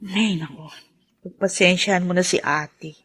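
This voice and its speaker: An actress with a Filipino accent playing a caring, peacemaking younger sister in a melodrama, with a husky voice. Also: female voice, lowish voice, fairly even intonation, moderate pace, fairly bright tone. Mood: gentle, apologetic. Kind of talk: Tagalog melodrama acting.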